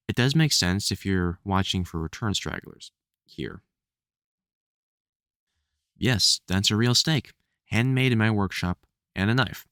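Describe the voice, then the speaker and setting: An actor playing a gruff, protective vampire hunter who swears and stammers under worry in an audio roleplay. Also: mid-range voice, dry recording, clean studio sound, lightly acted. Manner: sweary, urgent, stammering when worried. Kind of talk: audio roleplay drama spoken to the listener.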